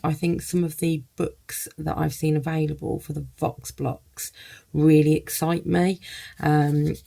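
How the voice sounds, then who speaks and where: A woman's voice: low-pitched, creaky, dark tone, husky. A woman giving a casual first-look product review, thinking aloud in loose, hesitant phrases.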